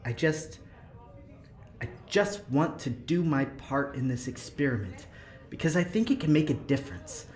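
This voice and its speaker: Lively, hesitant male engineer, played by an actor. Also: mid-range voice, normal speed, natural voice. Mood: earnest, persuasive. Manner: hesitant, rambling, nervous laughter. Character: eager, earnest young male prison engineer and test volunteer. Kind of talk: audio drama acting, naturalistic.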